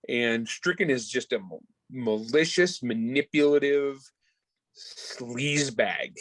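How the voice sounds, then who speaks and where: A man's voice: medium pitch, takes it slowly, no echo. A man speaking as an interviewed author in an enthusiastic, informal, slangy way, in casual conversation.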